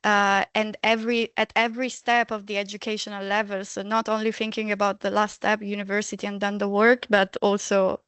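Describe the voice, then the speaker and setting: A woman moderating an online panel, introducing speakers with measured pauses and fillers. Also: medium pitch, little pitch movement, a bit bright, clean tone, no room sound.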